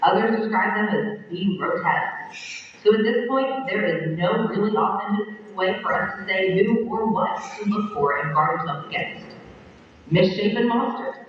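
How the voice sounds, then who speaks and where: A man's voice: sits high, slight background noise, natural voice. A man playing a grave radio news announcer. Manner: steady, formal bulletin reading. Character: grave male emergency broadcast announcer. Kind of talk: 1960s broadcast news delivery. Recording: dull, distant, echoey old film radio sound.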